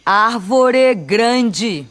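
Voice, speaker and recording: A woman's voice: fairly high pitch, expressive, very slow, a bit bright, heavily acted. A woman playing a patient, helpful local in a comic radio drama. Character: patient, helpful Brazilian local receiving a visitor. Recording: noticeable ambient background noise.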